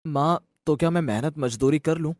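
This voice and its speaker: A woman's voice: deep voice, moderate pace, darkish tone, no echo, studio quality, close to an everyday voice. A woman voicing an eager, earnest young son in a moral story. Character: earnest, cheeky young son of a poor mother.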